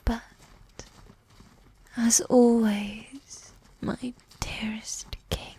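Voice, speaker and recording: Breathy, slow-spoken evil queen, acted by a woman. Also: on the high side, little pitch movement, heavily acted. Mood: disappointed, reproachful. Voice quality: breathy, soft, half-whispered. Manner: slow, regal, formal phrasing with sighs. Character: evil warrior queen, possessive, condescending yet doting wife. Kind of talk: roleplay ASMR, intimate whispering.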